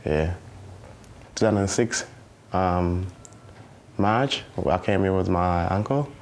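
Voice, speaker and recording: A nineteen-year-old man from tells his own story for a documentary, speaking haltingly with many fillers and restarts. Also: low voice. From South Sudan. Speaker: documentary interviewee. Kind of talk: personal testimony in a youth documentary.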